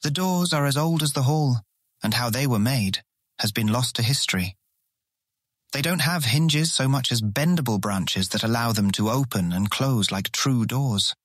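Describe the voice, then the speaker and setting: A man playing a proud guild member in a fantasy audio drama, explaining things in a measured way. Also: mid-range voice, fairly bright tone, no room sound, clean studio sound, natural voice. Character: proud, patient male guild member showing a newcomer around. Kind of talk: full-cast fantasy audiobook acting.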